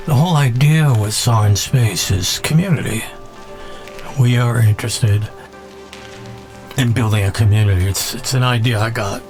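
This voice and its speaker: A man speaks as a podcast host in an unscripted, reflective monologue. His delivery is halting and musing, with words repeated for emphasis, and prominent music plays along behind his voice. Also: medium pitch.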